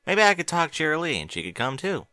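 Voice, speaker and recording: A man's voice: a little high, very animated, fast talker, bright, forceful tone, no echo, pitched above the performer's own voice. Man doing a young pony mare, farm girl, folksy, raised voice, swinging tone, close dry sound. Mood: friendly. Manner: plainspoken, folksy. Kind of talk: audiobook reading of fan fiction.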